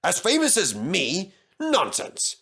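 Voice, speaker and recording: A man's voice: high-pitched, huge pitch swings, slow pace, vocal fry, sharp tone, breathy edge, pitched above the performer's own voice, full character voice. A man voicing a pompous, boastful male steam engine in a storybook, grumbling and dismissive.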